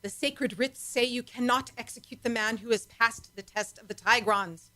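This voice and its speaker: An actress playing a dutiful, firm high priestess in a radio serial, speaking in a formal, declamatory manner. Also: female voice, moderate pace, pushed and bright. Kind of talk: old-time radio serial acting.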